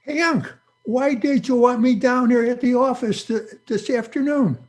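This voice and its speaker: A man's voice: extremely high pitch, moderate pace. A man playing a cheeky, mischievous young schoolboy nephew in an old-time radio comedy. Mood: complaining. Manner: cheeky, complaining, playful.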